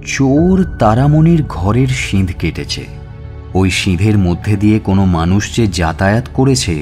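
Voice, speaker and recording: A man playing a police detective who narrates his investigation in measured, suspenseful audio-story style. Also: deep voice, a little mellow. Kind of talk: dramatized scripted first-person narration. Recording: music bed under the voice. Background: background music.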